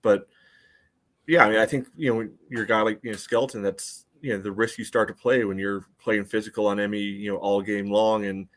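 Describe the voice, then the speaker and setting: A man's voice: lowish voice, fairly even intonation, fairly quick, a bit bright. A man co-hosting a soccer podcast, casually analysing play in an explanatory way with frequent "you know" asides. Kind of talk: casual sports analysis chat.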